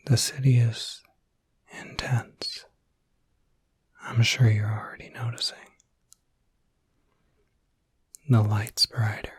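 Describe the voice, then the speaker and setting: A man playing a gentle, reassuring werewolf caretaker in an ASMR roleplay, speaking in a soft, breathy, soothing whisper with small hesitations, close to the mic. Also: fairly low pitch, no echo, full character voice. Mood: soft, sympathetic.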